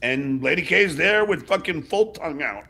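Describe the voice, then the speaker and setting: A man's voice: a little high, expressive, normal speed, gravelly, bright, forceful tone. A man hosting a livestream in casual chat, blunt and often swearing. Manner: profane, blunt. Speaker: host of a livestream show.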